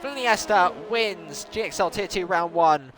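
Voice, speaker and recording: A man giving excitable live play-by-play commentary on an online racing series, rising sharply in pitch at the big moments. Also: measured pace, soft background music. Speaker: lead race commentator.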